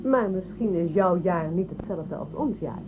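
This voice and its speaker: Haughty, probing official, tinny noisy recording. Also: female voice, on the low side, lively intonation. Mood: probing, skeptical. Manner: condescending, measured interrogator. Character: haughty, probing woman official of a space empire. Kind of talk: Dutch radio drama acting. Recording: thin band-limited, noisy old radio recording.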